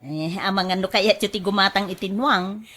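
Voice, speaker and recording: A woman with an Ilocano accent acting as a sly, fast-talking livestock seller in a radio drama, animated and exclamatory. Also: medium pitch, natural voice. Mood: coaxing.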